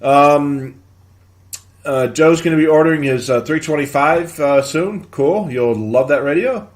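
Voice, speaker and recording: Man hosting a casual, halting tech chat. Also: on the high side. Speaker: live show host. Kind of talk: casual live tech advice, answering viewer questions.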